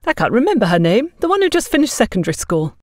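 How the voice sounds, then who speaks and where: Woman playing a bossy, high-pitched gossipy busybody. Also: very animated, quick pace, darkish tone, dry recording, studio quality. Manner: bossy, effusive, talks down to others. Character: overbearing, gossipy plump woman, smugly condescending. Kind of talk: audio drama storytelling.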